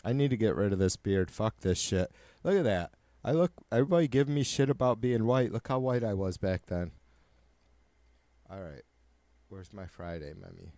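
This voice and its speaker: Low-voiced raspy, nasal man; swears bluntly and rambles casually, dry close sound. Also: expressive, moderate pace, mellow, no echo. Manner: profane, blunt, joking. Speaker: livestreamer. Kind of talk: casual rambling livestream chat.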